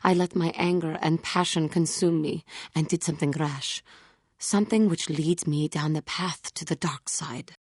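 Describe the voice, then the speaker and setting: Brooding alien jedi warrior woman for a video game, lowish raspy voice, very formal and remorseful, dry studio sound. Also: steady tone, a little mellow, dry recording. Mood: regretful, conflicted. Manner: formal, solemn, measured speech without contractions. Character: brooding, remorseful female Cathar alien Jedi warrior, earnest and self-doubting. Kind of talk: video game character acting.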